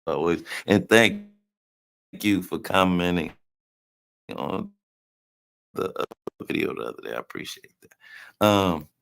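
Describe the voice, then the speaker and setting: A man hosts a casual live stream, talking loosely and teasing the people in the chat. His voice sits low with a gravelly edge, and its pitch swings up and down a lot as he talks. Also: lively intonation, slow, long pauses, fairly bright tone, slight background noise. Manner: loose and joking, talks to viewers in chat by name. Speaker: livestream host.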